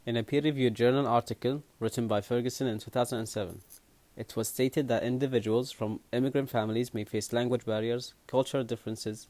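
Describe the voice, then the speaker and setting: A man's voice: medium pitch, darkish tone, no echo. A young man from Kuwait, a student presenting at a seminar, reading prepared points steadily.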